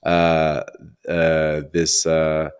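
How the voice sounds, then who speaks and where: A man's voice: very deep voice, monotone, drawn-out words, smooth. A man hosting a podcast interview, chatty and enthusiastic, with lots of "uh" and "like". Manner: enthusiastic, chatty, hesitant fillers like "uh" and "like". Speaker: podcast host. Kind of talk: casual interview chat.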